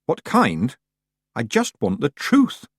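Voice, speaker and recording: A man's voice: medium pitch, huge pitch swings, takes it slowly, slightly rough, no room sound, voice pushed up, heavily acted. A fierce young witch girl snaps her demands in short, clipped phrases with a light edge, as a man reads her. The sound is close and dry. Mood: stern. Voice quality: clipped, stern. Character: furious young witch girl, sharp and commanding.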